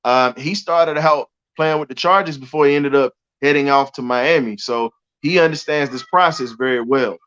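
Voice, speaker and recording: A man's voice: mid-range voice, a bit bright, smooth. A middle-aged man hosts a sports talk show over a video call, speaking with eager energy and frequent restarts. His voice sits in a middle range, and the call audio sounds somewhat dull and lo-fi through his headset. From United States. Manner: enthusiastic, talks fast with fillers and restarts. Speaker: show host.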